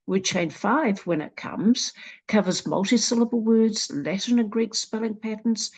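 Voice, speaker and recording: A woman with a New Zealand accent presenting a literacy program in a slow, deliberate walkthrough, sounding out letters one at a time. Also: mid-range voice, gravelly, breathy edge, poor audio. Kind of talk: screen-recorded software walkthrough.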